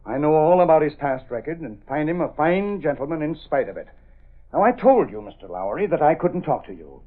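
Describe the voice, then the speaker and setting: An actor playing a harried circus manager, formal, stiff and businesslike, in 1930s radio acting style. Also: male voice, a little high, very animated, a little fast. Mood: stern. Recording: muffled, narrow-band old radio recording.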